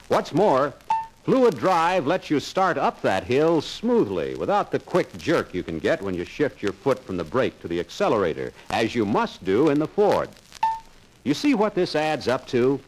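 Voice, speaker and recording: A man's voice: medium pitch, low-quality recording. A man narrating a 1950s sales training film in a confident, persuasive salesman's manner.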